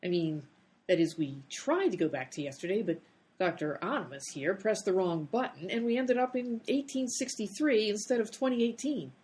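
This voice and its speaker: A woman's voice: mid-range voice, animated, moderate pace, darkish tone, lightly acted. A woman playing an exasperated, sarcastic time traveler in a comedy radio play. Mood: explaining.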